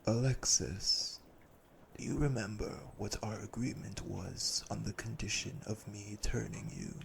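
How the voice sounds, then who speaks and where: Man acting low, gravelly, commanding vampire elder. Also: fairly even intonation, measured pace, slight background noise, rough recording. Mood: cold, probing. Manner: formal, stern, imperious. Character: cold, commanding elder male vampire brother, menacing. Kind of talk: audio roleplay drama acting.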